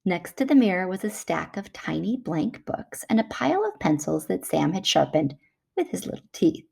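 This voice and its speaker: Lady doing a kids read aloud, really animated up and down, bit of vocal fry. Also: fairly low pitch, normal speed. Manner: animated storytelling. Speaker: children's book reader. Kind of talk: expressive picture book read-aloud.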